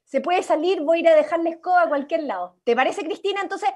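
A Chilean woman on a video call, directing a radio play workshop in an animated, encouraging way. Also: very high voice, fast. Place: indoors at home, video call. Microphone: computer mic on video call.

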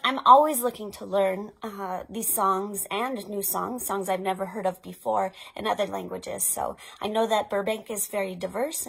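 Husky, creaky American woman hosting storytime. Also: mid-range voice, a bit bright, low-quality recording. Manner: encouraging, instructional, addressing young children and parents. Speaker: children's librarian, storytime host. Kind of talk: children's storytime presentation. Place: small play tent indoors.

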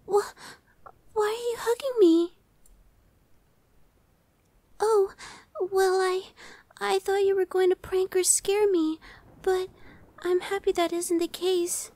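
A woman voicing a timid, anxious young female gargoyle in an ASMR roleplay, soft, girlish, hesitant and stammering. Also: extremely high pitch, steady tone, slow, pauses often, dry recording. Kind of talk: ASMR audio roleplay acting.

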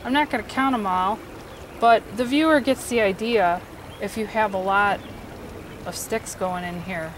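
A woman in her forties teaching a quick watercolor sketch outdoors, explaining each step in a relaxed, encouraging way. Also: medium pitch. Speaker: watercolor instructor. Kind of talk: outdoor painting demonstration. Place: outdoors by a stream. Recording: faint stream noise behind.

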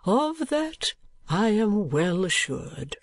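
Storybook old gentleman, played by a man: high, slow, kindly, earnest and sentimental, emphatic and halting. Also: big pitch swings, creaky, dry recording, full character voice. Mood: earnest, confidential. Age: older. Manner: emphatic, repetitive, halting, drawn-out delivery.

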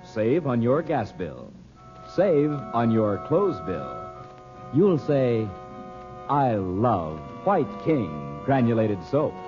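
A man announcing an old-time radio show in an emphatic, deliberate scripted style. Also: medium pitch, sing-song. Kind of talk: 1930s-40s radio announcing, scripted sales pitch and narration. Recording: muffled noisy old radio, loud music.

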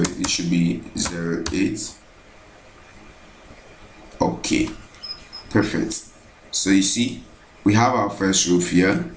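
A man with a very low voice talks slowly and steadily through a screen tutorial, saying each step aloud and often repeating it. His voice echoes in the room over a faint hiss. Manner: steady, repetitive step-by-step narration, thinking aloud. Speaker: architecture software tutor. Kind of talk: screen-recorded software tutorial narration.

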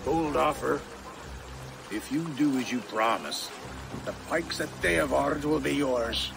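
A man playing a wary older Saxon reeve in a video game, gravelly and guarded. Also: medium pitch, takes it slowly, music in the background. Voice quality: very creaky, gravelly. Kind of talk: dramatic video game cutscene acting. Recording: clear background ambience noise.

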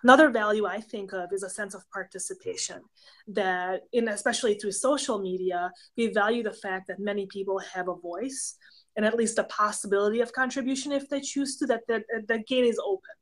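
A woman's voice: medium pitch, steady tone, brisk. A woman in her thirties from Hungary with a Hungarian accent, a professor giving thoughtful, example-filled explanations as a guest on a video call from a home office. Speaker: professor of pastoral theology, guest. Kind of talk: casual interview conversation. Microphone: webcam on a video call.

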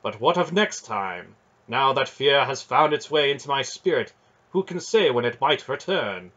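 A man's voice: medium pitch, expressive, normal speed, pushed and bright, muffled recording, pitched above the performer's own voice. A man voicing a brooding noble knight who speaks in an archaic, earnest and declaiming way. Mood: troubled, solemn. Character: brooding noble male knight, formal and solemn.

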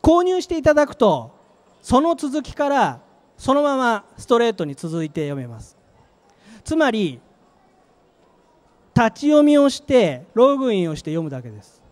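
A man's voice: sits high, darkish tone. A middle-aged man giving a live product presentation in short, emphatic phrases into a handheld microphone. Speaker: company manager presenting a product. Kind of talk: live trade-show presentation with slides. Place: presentation stage at an exhibition venue.

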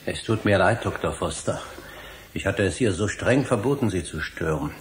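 An actor playing an apologetic, scholarly middle-aged husband in a German radio drama, courteous and contrite. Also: male voice, low-pitched, animated, moderate pace, slight background noise.

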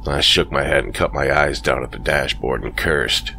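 Man, extremely low voice, gravelly fry, bright edge, faint hiss, horror story narration. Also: steady tone, normal speed. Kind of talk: scripted first-person horror narration.

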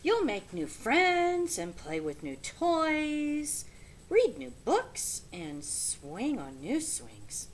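A tender mother raccoon from a storybook, read by a woman. She soothes and reassures in an unhurried voice with wide rises and falls. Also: high voice, huge pitch swings, a little hiss or hum, voice pushed up, heavily acted. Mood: reassuring.

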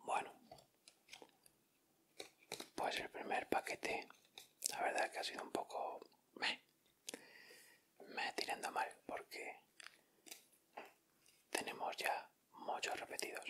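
A man with a Castilian Spanish accent doing gentle, informal ASMR commentary as he hosts an unboxing. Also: takes it slowly, long pauses. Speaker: ASMR video host.

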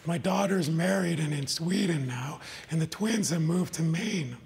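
A man voicing a warm, spirited old woman in a storybook read aloud, wistful and gently teasing. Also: fairly high pitch, unhurried, vocal fry, slightly rough, full character voice.

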